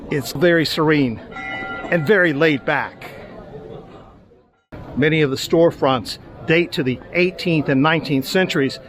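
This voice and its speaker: A middle-aged man hosting a travel show, narrating in a relaxed, conversational way with small hesitations as he walks around outdoors. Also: on the high side. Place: outdoors in a busy tourist town. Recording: crowd chatter behind the voice. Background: crowd of tourists.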